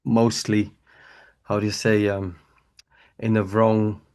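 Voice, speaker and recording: Swiss french accent, man, hesitant, searching for words, fairly low pitch. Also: level tone, takes it slowly, darkish tone, smooth. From Switzerland. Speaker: webinar participant. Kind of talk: casual chat.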